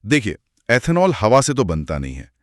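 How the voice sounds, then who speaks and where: A man's voice: on the low side, wildly swooping pitch, moderate pace, no room sound, clean studio sound. A man co-hosting a conversational explainer, speaking in an emphatic, explanatory way with English terms mixed in. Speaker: co-host explaining business results.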